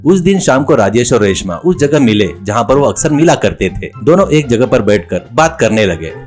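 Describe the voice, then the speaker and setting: A man's voice: mid-range voice, big pitch swings, normal speed, a little mellow, faint music behind. A man narrating a scripted comedy story as the storyteller.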